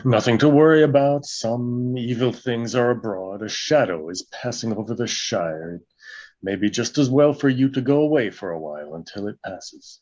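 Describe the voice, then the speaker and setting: A man playing a grave, commanding old wizard with a portentous, deliberate delivery in a fantasy script read. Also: medium pitch, darkish tone, lo-fi sound, lightly acted. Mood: urgent, commanding.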